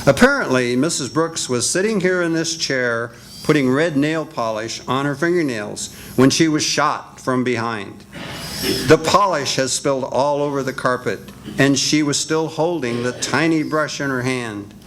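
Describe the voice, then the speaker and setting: An older man in a senior center radio drama reading, playing a calm, businesslike detective. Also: medium pitch, a little hiss or hum, close to an everyday voice, poor audio. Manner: measured, businesslike. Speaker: amateur radio actor.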